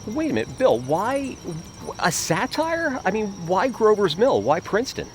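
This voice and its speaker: Animated man interviewing. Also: high voice, normal speed, background noise. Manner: inquisitive, short prompting questions. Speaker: host and interviewer. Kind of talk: casual on-location interview.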